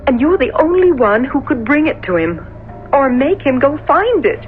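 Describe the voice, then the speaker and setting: An actress playing a troubled, guarded young fiancee in a 1970s radio drama, hesitant and earnest. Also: female voice, sits high, very animated, moderate pace, music in the background. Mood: anxious, pleading. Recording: tinny, noisy old radio recording.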